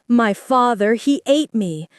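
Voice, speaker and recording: Eerie little songbird chanting its sad rhyme, a lady putting it on, high and swooping. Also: animated, slow, no room sound, studio quality. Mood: haunting chant. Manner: sing-song chanting. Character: magical singing bird, boy's spirit, eerie.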